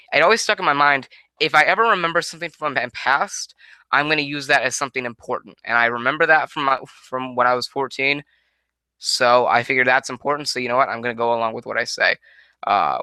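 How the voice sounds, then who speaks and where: A man's voice: medium pitch, fast, bright, forceful tone, clean tone, dry recording, low-quality recording. A nineteen-year-old man from Connecticut answering viewer questions in a rambling, casual way, full of restarts, on a headset mic at home. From Connecticut, USA. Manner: rambling, many restarts and self-corrections. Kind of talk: casual Q&A monologue. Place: small room at home, indoors. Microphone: headset microphone.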